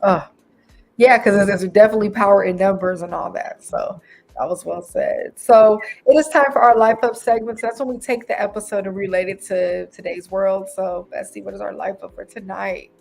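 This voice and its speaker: Creaky-voiced woman podcast host. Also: mid-range voice, fairly even intonation, moderate pace, darkish tone. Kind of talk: casual hosting, moderating.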